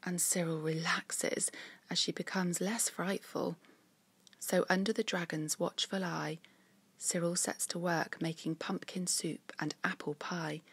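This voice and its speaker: A woman narrates a rhyming children's storybook in a fairly low, husky voice. She reads slowly and gently, with measured expression, in a dry, close recording. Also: dry recording. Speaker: author reading her own story.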